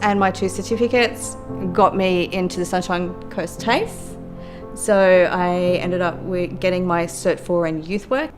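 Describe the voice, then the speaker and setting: A middle-aged Australian woman telling her own story in an earnest, reflective, steady way. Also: lowish voice, a little slow. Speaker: youth worker and law graduate. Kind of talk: personal testimony for a documentary. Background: soft music.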